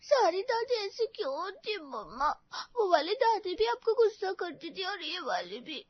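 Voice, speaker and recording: A woman's voice: very high-pitched, sing-song, normal speed, no echo, lo-fi sound, voice pushed up. A woman voicing a sweet, anxious little girl with a small, innocent, pleading voice in a story. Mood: tearful, pleading. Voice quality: small childlike voice.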